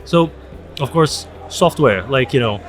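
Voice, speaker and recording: A man's voice: medium pitch, expressive, a little slow, faint music behind. A man hosting a podcast interview at a trade event, talking in a hesitant way with many fillers and restarts. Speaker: podcast host. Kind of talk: conversational interview.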